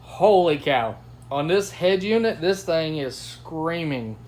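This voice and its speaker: A man's voice: on the high side, animated, takes it slowly, loud music behind the voice. A man with an enthusiastic, slangy, folksy way of talking, giving a casual product review as host. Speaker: host of product unboxing and test video. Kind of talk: casual unboxing review chat. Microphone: phone's built-in microphone. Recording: noisy phone camera recording.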